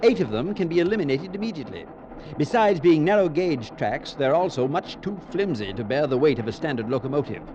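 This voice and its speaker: Flustered, high-pitched railway official. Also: male voice, very animated. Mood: precise, fussy. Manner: fussy, agitated, emphatic, halting when upset. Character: flustered, incredulous male British railway official. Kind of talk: 1940s-50s radio drama acting. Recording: dull vintage radio recording, noisy background voices.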